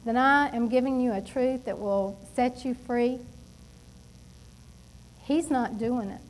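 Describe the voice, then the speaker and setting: A middle-aged woman delivers a sermon from a church pulpit. Her voice sits high, and she preaches with emphatic, animated energy and quick asides to the congregation. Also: a little hiss or hum, low-quality recording. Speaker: pastor. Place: church stage at a wooden pulpit.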